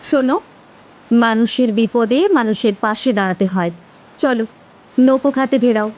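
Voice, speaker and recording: A woman's voice: fairly high pitch, normal speed, a little mellow, muffled recording, lightly acted. A woman voicing a kindly, persuasive but easily frightened village wife in a horror cartoon. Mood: earnest. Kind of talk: Bengali horror cartoon dubbing.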